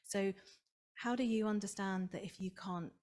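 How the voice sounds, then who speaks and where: A woman's voice: medium pitch, normal speed, darkish tone. A woman speaking on a conference panel in a conversational, reasoned way, often saying "you know".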